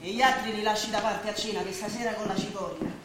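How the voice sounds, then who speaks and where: A woman's voice: mid-range voice, fairly even intonation, fast, natural voice. A woman acting on stage as a weary, bossy ageing Roman housewife who grumbles and bustles through her chores. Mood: weary grumbling. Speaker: stage actor. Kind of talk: theatrical stage drama dialogue. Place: theatre stage, recorded from afar. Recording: echoey stage, distant mic, noisy.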